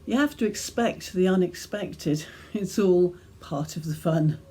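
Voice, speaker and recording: A woman reading a devotional reflection as gentle, measured storytelling with deliberate pauses. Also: fairly low pitch, breathy edge. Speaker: reader of her own devotional reflection. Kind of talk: scripted devotional reflection, storytelling read aloud.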